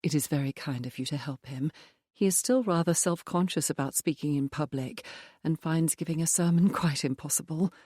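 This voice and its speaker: A woman voicing a composed young woman who speaks firmly, quietly and in a measured way. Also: deep voice, dry recording, clean studio sound. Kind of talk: audiobook dialogue.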